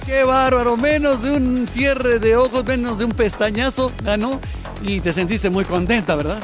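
A man's voice: very high voice, normal speed. A middle-aged man with a Mexican Spanish accent hosting a TV sports interview outdoors with a handheld mic, enthusiastic and exclamatory. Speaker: host. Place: outdoors by a fountain. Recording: music bed under voices.

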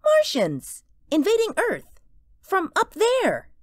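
A woman playing an excited, bossy and impatient little girl in a radio drama. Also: extremely high pitch, slow pace, no room sound, clean studio sound, full character voice. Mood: earnest, eager. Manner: impatient, emphatic, gushing.

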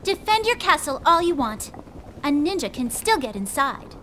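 A woman's voice: sits high, big pitch swings, moderate pace, fairly bright tone, a little hiss or hum. An actress playing a cheerful, motherly female ninja in a video game, playful and chiding. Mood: playful scolding. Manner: bubbly, chiding. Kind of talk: video game English dub acting.

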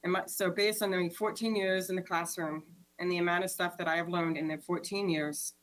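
An earnest woman in her thirties speaks in a lowish voice, measured and deliberate, as if reading a prepared statement. She is on a video call from a room at home. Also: steady tone, low-quality recording. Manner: earnest, measured, reads from notes. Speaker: school board member. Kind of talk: prepared statement at a board meeting.

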